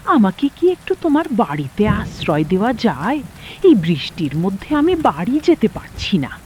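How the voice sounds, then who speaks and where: A woman voicing a stingy old village woman in a cartoon moral story, with an expressive, dramatic delivery. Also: mid-range voice, normal speed, a little hiss or hum, close to an everyday voice. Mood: anxious, pleading.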